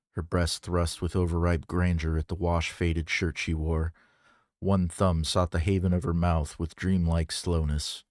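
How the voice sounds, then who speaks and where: A man reading a novel aloud in a measured, dramatic storytelling manner. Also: low voice, dry recording, studio quality. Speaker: audiobook reader. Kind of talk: scripted fiction narration.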